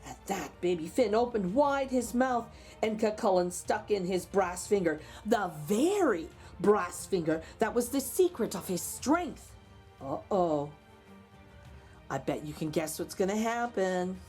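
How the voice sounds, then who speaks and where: A woman's voice: mid-range voice, expressive, unhurried, mellow, soft background music. A woman storytime host narrating a picture book expressively, with chatty asides to young listeners. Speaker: storytime host reading aloud to children.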